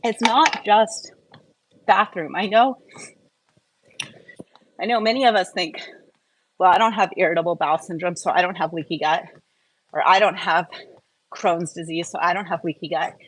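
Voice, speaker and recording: A woman's voice: fairly low pitch, moderate pace, long pauses, low-quality recording. A woman hosting a live wellness stream, explaining things informally and step by step in a conversational way. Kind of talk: informal explanatory talk to a live online audience.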